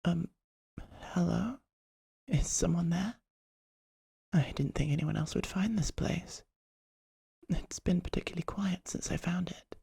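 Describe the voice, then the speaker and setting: A performer acting as a polite, poetic vampire artist in an ASMR roleplay. The voice is very breathy and husky, crackling with heavy fry. It stays soft, often near a whisper, with a shy, gently formal manner. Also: medium pitch, measured pace, pauses often, exaggerated voice. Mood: shy, hesitant.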